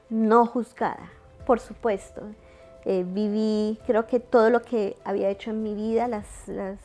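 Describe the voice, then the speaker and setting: Colombian-born grandma giving her testimony, halting, lots of ums, mid pitch, faint music behind. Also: warm tone. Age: older. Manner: hesitant, many fillers and restarts. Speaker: testimony speaker. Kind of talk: personal faith testimony.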